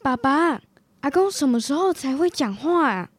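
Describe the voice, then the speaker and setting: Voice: sits high, animated, moderate pace, a little hiss or hum. A child performer playing a cheerful young boy devoted to his grandfather in a radio drama. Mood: eager, caring.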